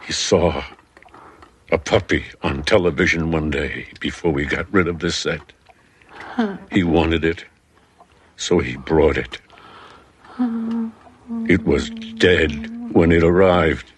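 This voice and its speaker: An actor playing a weary, bitter, protective father in a television drama, speaking gravely and with intensity. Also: a bass voice. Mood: grim, weary. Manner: slow, grave, emotionally intense.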